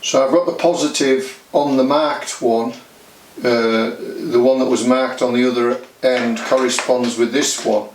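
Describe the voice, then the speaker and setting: Slow-paced man giving a DIY demonstration. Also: mid-range voice, fairly even intonation, low-quality recording. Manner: matter-of-fact, explains step by step. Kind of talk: casual how-to demonstration.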